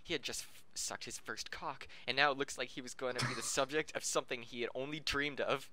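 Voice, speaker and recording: A man's voice: mid-range voice, steady tone, brisk, sharp tone. A man reading a story aloud as an amateur podcast host, giggly and tripping over words. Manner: stumbles over the text, giggly.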